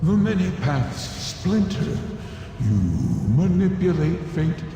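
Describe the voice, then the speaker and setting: An actor playing a solemn, accusing otherworldly servant of a prince in a fantasy game, speaking portentously. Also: male voice, sits high, animated, warm tone, slight background noise. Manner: slow, portentous. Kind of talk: scripted fantasy game dialogue. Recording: heavily echoing hall, music behind. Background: game music.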